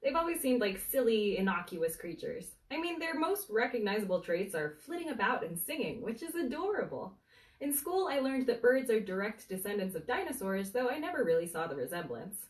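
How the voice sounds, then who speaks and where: A woman's voice: medium pitch, sing-song, fairly quick. Echoey, distant woman reading a story dramatically. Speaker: bookseller storyteller reading aloud. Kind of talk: animated bedtime story reading of a humorous book. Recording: roomy, echoey, distant from the mic.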